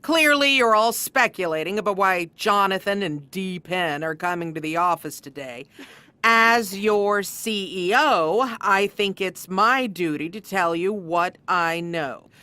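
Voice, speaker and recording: An actress playing a stern, condescending chief executive in a scripted comedy, blunt, formal and commanding. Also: female voice, mid-range voice, a little slow, sharp tone, clean tone, no echo. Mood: formal announcing. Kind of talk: scripted workplace comedy acting.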